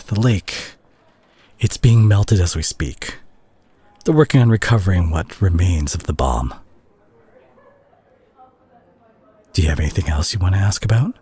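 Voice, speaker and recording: A man's voice: sits low, husky, heavily acted. A man playing a weary, worried superhero talking intimately to an injured teammate in an audio roleplay. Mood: gentle, reassuring. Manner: hushed, halting, sighing, turning exasperated.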